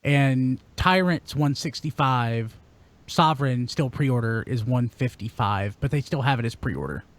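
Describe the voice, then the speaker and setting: A man's voice: mid-range voice, lively intonation, unhurried, dry recording. A man chatting casually as one of the panelists on a podcast. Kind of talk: casual chat.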